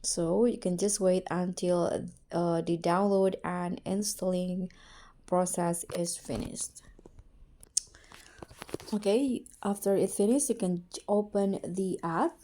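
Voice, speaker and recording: A woman narrating a casual how-to tutorial as its host, in a halting way with repeated and corrected words. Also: on the low side, fairly even intonation, mellow.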